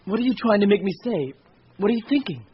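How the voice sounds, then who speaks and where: An actor playing a loyal, guarded fifteen-year-old schoolboy in a radio drama, with a terse, touchy manner. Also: male voice, sits high, sing-song, fast, husky, full character voice. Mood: defensive. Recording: dull, noisy old radio recording.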